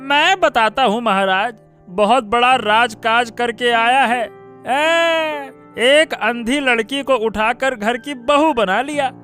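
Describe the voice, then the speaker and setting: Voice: medium pitch, takes it slowly, fairly bright tone, faint music behind. An actor voicing a mocking, scheming royal courtier in a cartoon story, sneering and theatrical. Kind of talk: Hindi cartoon story voice acting.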